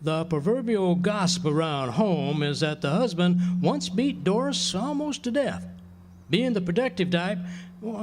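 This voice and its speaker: An actor playing a boozy, gossipy small-town professor with florid, courtly speech in a radio drama. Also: male voice, high voice. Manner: florid, mock-formal wording. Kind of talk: theatrical radio drama dialogue. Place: outdoor amphitheater stage. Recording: noisy outdoor live recording.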